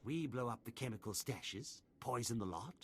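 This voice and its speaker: An actor playing a menacing, imperious military villain in a sci-fi video game, commanding and threatening. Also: male voice, mid-range voice, expressive, moderate pace, a bit bright, a little hiss or hum, natural voice. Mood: ruthless satisfaction.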